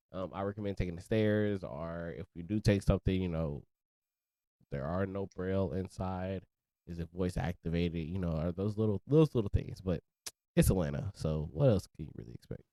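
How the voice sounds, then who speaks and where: A man's voice: low voice, mellow, dry recording. A young man co-hosting a casual podcast, joking and teasing his co-host between news stories and laughing often. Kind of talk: casual banter and news discussion.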